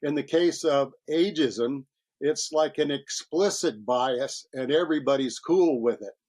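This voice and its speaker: Man in his seventies, mid-pitched voice, wry, argumentative. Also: a little slow, darkish tone. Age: 70s. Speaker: voter. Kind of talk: interview clip.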